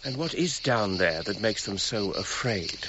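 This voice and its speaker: An actor playing an authoritative, sceptical English gentleman archaeologist in a radio drama, with an English accent. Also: on the low side, animated, unhurried. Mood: brisk, exasperated. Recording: dull radio recording, clear background noise.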